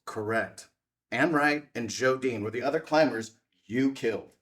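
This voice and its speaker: A man playing a confrontational debunking journalist in a drama table read, pointed and deliberate in his delivery. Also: mid-range voice, a bit bright, lightly acted. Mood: accusing, sardonic. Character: confrontational male debunking journalist, sardonic and relentless. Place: indoor room at a filmed table read.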